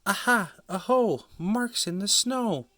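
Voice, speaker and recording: A man's voice: high-pitched, animated, slow, bright, forceful tone, no room sound, pitched above the performer's own voice, heavily acted. A man voicing a bold, curious young female monster child in a storybook reading. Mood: excited.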